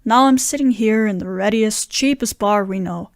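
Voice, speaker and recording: A woman's voice: medium pitch, moderate pace, no echo, lightly acted. Dry-recorded woman acting a wry barfly friend. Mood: wry, concerned. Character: wry, down-on-their-luck friend Bill, narrating at a bar. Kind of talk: scripted first-person audio drama narration.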